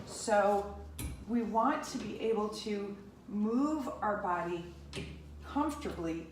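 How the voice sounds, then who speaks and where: A fifty-year-old woman talks straight to the camera in a chatty, rambling vlog. Her voice sits at a medium pitch, and she keeps circling back and adding asides. The sound rings a little off the walls of the room. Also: slow pace, roomy sound, background noise. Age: about 50. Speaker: Pilates instructor. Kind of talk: casual talking-to-camera vlog.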